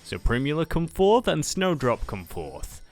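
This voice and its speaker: A man's voice: on the high side, wildly swooping pitch, measured pace, darkish tone, faint music behind. A man playing out an improvised card-duel roleplay, announcing each move with theatrical flourish.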